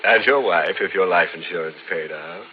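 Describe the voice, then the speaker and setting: An actor playing a teasing, ghoulish horror host in old-time radio, macabre and sardonic. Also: male voice, low voice, soft background music, lightly acted. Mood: gleefully macabre. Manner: slow, macabre, sardonic, drawn-out. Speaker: host and narrator. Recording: muffled vintage radio recording.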